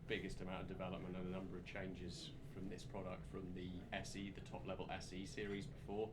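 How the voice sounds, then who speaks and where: A man giving an informal technical product presentation as a company representative, explaining in a measured way and often correcting himself mid-phrase. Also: low-pitched, monotone. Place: indoor demo room at an audio show. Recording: noisy show room ambience. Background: loud room noise and ambience.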